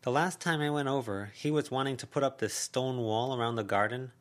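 A man's voice: medium pitch, brisk, fairly bright tone, voice pushed up, natural voice. A man voicing a guarded teenage girl who speaks hesitantly and dryly. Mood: complaining.

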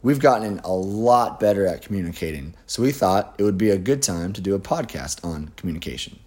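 A man co-hosting a casual podcast, his voice raspy and hoarse, speaking in an earnest, measured way. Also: sits low, lively intonation, darkish tone. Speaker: podcast co-host. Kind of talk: casual chat.

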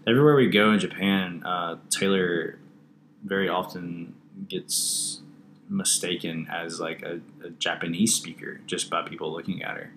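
A man's voice: sits low, steady tone, moderate pace, fairly bright tone, a little hiss or hum, low-quality recording. A man co-hosting a podcast in casual chat, teasing and joking with his co-host.

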